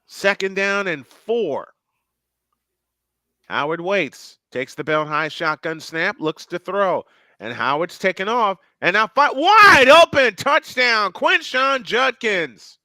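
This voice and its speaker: A man calling football play by play, his voice bright and a little high. He sounds dry and close to the mic and swings up into excited calls on big plays. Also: slow, dry recording. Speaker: play-by-play commentator. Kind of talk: live game commentary on a fan stream.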